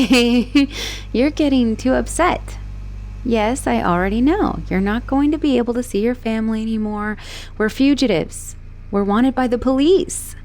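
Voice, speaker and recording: A woman playing an obsessive, manipulative young woman who escaped an asylum, in a teasing, expressive roleplay monologue spoken straight to the listener. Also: on the high side. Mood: teasing, exasperated. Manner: teasing, possessive, expressive, swinging between sweet and exasperated. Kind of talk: dramatic roleplay audio monologue addressed to the listener.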